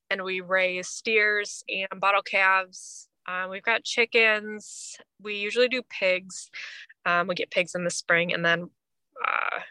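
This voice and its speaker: Bright-voiced woman in casual podcast chat. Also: medium pitch, clear, resonant tone, no echo, low-quality recording. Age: 30s. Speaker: podcast co-host.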